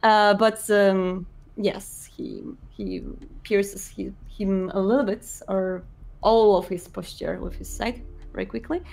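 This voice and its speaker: A woman's voice: mid-range voice, expressive, slow, vocal fry, darkish tone. A woman improvising narration for a tabletop roleplaying game, hesitantly piecing together descriptions phrase by phrase. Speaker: game player narrating the prince character.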